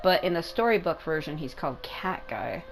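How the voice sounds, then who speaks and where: A woman's voice: fairly low pitch, lively intonation, moderate pace, gravelly, soft background music. A woman giving casual, rambling, informal commentary as she hosts a game playthrough. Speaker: gaming video host.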